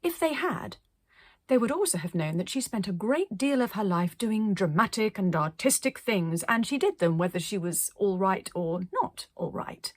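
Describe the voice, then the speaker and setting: Expressive woman storyteller, slightly husky, dry sound. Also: mid-range voice, no room sound. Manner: expressive, dramatic pauses. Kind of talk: scripted children's story narration.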